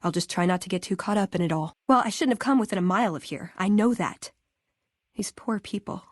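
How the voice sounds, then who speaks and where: Game role: earnest young female scribe played by a woman, American, dryly wry and conversational, mid pitch, close dry studio sound. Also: a little fast, no room sound, close to an everyday voice. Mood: troubled, regretful. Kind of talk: video game character dialogue.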